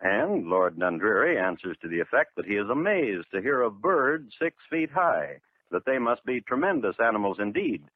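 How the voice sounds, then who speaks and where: A man narrating a scripted 1950s true crime radio drama in a dry, deadpan, measured manner. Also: on the low side, no room sound. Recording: noisy, narrow-band 1950s radio recording.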